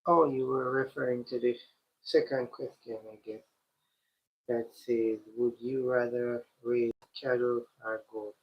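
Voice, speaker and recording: A man hosting a live stream, talking slowly and directly to his chat, his voice congested and stuffy from a cold. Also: mid-range voice, level tone. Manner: slow, repetitive, addresses the chat directly. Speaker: livestream host. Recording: dull lo-fi stream audio.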